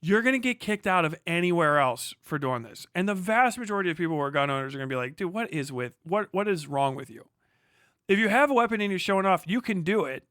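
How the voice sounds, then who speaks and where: A man's voice: high voice, animated, quick pace, fairly bright tone, clean tone, no room sound, studio quality. A man with an American accent doing casual livestream reaction commentary, sarcastic, incredulous and freely profane. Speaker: livestream host reacting to a video.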